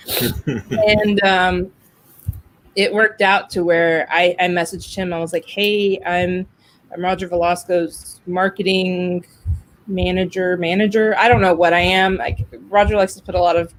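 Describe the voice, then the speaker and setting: A woman's voice: lowish voice, fairly even intonation, unhurried, poor audio. A woman hosting a podcast in casual conversation, talkative and telling stories with acted-out quotes. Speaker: podcast host.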